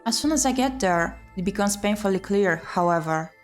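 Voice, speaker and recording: A woman streamer reading a visual novel aloud in an expressive, theatrical way. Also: medium pitch, normal speed. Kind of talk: dramatic read-aloud narration. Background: game music.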